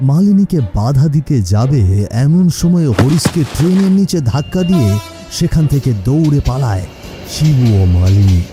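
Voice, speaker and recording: A man narrating a ghost cartoon story in an even storytelling manner. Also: fairly high pitch, normal speed, over music.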